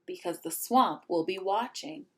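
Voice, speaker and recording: A woman's voice: medium pitch, animated, measured pace, vocal fry. A woman voicing a stern, bossy witch-like substitute teacher with a scratchy voice in a storybook reading. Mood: menacing, gloating. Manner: commanding, clipped orders.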